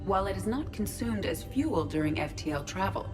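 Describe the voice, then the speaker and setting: A woman playing a calm, logical artificial intelligence in a video game, with a formal, precise, analytical delivery. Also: medium pitch, fairly quick, music in the background, lightly acted. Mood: analytical, composed. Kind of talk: video game dialogue acting.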